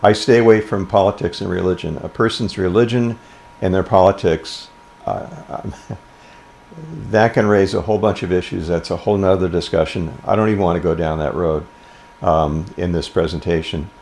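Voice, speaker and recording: An older man giving an informal instructional talk about a hobby, speaking plainly and with deliberate phrasing. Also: low voice, fairly even intonation, gravelly, darkish tone, slight background noise. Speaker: amateur radio presenter.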